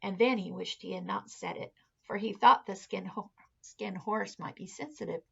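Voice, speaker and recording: A woman reading a children's story aloud like a teacher, with expressive, deliberate storytelling in a slightly muffled recording. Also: mid-range voice, creaky, breathy edge. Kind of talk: scripted children's story narration. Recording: slightly dull, muffled sound.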